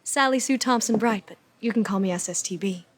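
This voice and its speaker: A woman's voice: medium pitch, fairly quick, close to an everyday voice. A woman playing a flustered young woman, a distressed client in a comedic noir skit, with a breathless, dramatic delivery.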